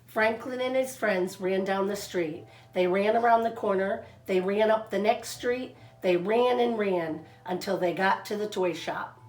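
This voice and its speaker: A woman narrating a children's storybook like a teacher, expressive and deliberate, stretching out words. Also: medium pitch. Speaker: kindergarten teacher reading stories to children. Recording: echoey room, faint music behind.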